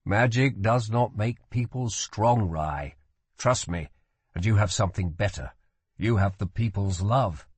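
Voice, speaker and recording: Man as a calm protective brother, husky voice. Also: fairly low pitch, dry recording. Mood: reassuring. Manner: measured, earnest, firm. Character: calm, protective elder-sounding brother, a guarded magician.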